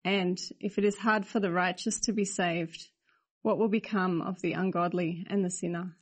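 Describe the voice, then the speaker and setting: A woman reading a Bible passage aloud to a church, in a measured, careful way. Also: fairly low pitch, fairly even intonation. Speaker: scripture reader.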